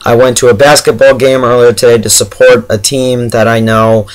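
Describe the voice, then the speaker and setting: A man with a hoarse, tired voice giving a casual, chatty, somewhat halting TV episode review straight to his viewers. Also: medium pitch, little pitch movement, rough recording. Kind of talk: casual spoken review to camera.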